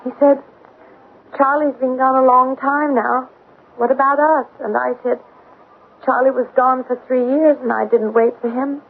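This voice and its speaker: A lovelorn, ailing young woman in a radio drama, earnest and steadfast. Her plaintive voice is high and husky, heard through a very muffled old broadcast. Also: fairly even intonation, a little slow, heavily acted. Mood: sad, resolute.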